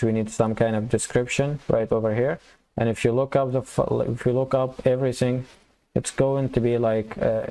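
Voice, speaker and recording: Man, gravelly and low, dark mellow tone, flat delivery, slightly hoarse, casual coding tutorial, thinks aloud while typing. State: slightly hoarse, losing his voice. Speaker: programming tutor.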